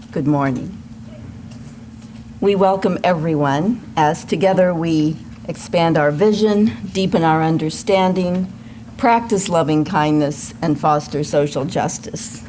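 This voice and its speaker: A woman speaking as a worship associate, giving a measured, formal welcome and announcements to a congregation in a noisy live room recording. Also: sits low, a little mellow. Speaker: worship associate at a church service.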